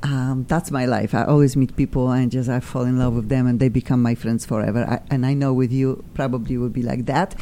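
A woman's voice: very deep voice, a little fast, hardly any pauses, slight background noise. Effusive woman hosting a chat show. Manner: effusive, excitable, rambling. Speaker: talk show host.

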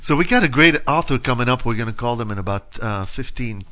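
Lively, swearing male radio co-host. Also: mid-range voice, quick pace. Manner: swears a lot, laughs often, teasing. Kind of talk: casual profane banter.

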